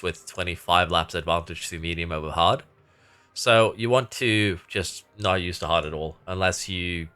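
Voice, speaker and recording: Very low, stuffy-nosed man commentating on gameplay. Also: bright, forceful tone, smooth. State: stuffy nose from congestion. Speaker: gaming video host. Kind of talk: casual game commentary.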